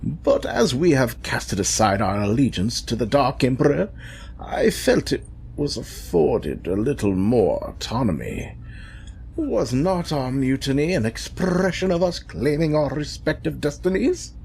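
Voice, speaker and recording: An insolent villainous henchman in an audio drama. He is formal and wordy with a sly edge, and his mid-pitched voice swings around freely. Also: very animated, a little slow, vocal fry, a little mellow, slight background noise. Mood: smug, defiant. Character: insolent villainous creature-maker, scheming underling brother. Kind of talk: dramatic audio fiction acting.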